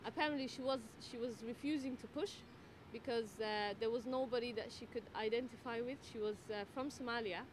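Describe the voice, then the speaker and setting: A woman's voice: fairly high pitch, steady tone. Woman midwife speaking over background noise. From Netherlands. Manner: thoughtful, many fillers and pauses. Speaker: midwife and tutor. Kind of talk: interview answers. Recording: noisy background ambience.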